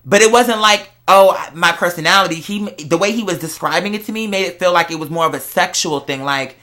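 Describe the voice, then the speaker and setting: A vlog host chatting casually and candidly to viewers, telling stories in an animated, slangy way. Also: mid-range voice, brisk. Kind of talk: casual confessional chat to viewers.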